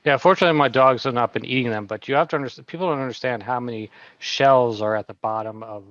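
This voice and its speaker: A man co-hosts with lively storytelling, steering the talk with questions. His sound is dry and close with a slightly dull, lo-fi quality. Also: medium pitch, fast talker, no echo. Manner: animated storytelling, asks guests questions. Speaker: co-host. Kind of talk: casual podcast chat.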